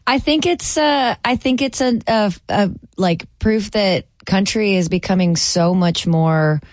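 A woman's voice: mid-range voice, unhurried, a little mellow, no echo, clean studio sound. A woman from El Salvador who grew up in Georgia, a country singer talking enthusiastically as a radio guest. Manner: enthusiastic, fillers. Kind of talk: radio panel discussion.

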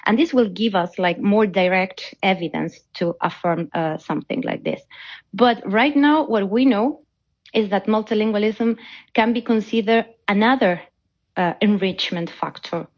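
A woman's voice: mid-range voice, normal speed. A woman researcher, not a native English speaker, explaining her work in an interview and often repeating words as she goes. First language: non-native English speaker. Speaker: academic researcher being interviewed. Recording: dull, band-limited audio.

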